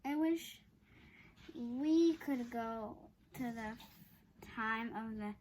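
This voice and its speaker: Female reader as earnest storybook boy, careful yet curious, high voice, slight noise behind. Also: very slow, a little mellow, poor audio.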